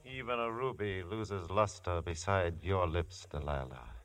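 A man's voice: low voice, a little slow, over music. An actor playing an indulgent, suave king with a courtly, grandiose delivery, in 1950s radio drama. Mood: amorous, suave.

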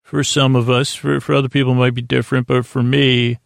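A man with a heavily creaky, gravelly voice, recorded close and dry. He hosts a bedtime podcast, rambling soothingly and haltingly, often interrupting himself as he drifts along. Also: mid-range voice, level tone, dry recording. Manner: halting, meandering, self-interrupting, gently absurd; soothing, lulling delivery. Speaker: podcast host. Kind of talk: rambling bedtime monologue to help listeners sleep.

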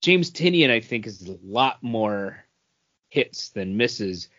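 A man's voice: fairly low pitch, expressive, slow pace, a bit bright. A man chatting casually and giving his opinions as a podcast co-host. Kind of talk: casual chat, book review. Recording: dull, lo-fi podcast audio.

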